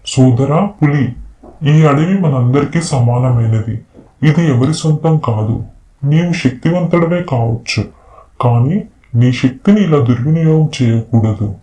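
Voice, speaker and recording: A man voicing a wise, kind elephant in a storybook tale, speaking in a polite, measured, admonishing way. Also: mid-range voice, expressive, mellow, lightly acted. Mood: polite, firm. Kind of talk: storybook character dialogue. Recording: roomy echo, music behind voice.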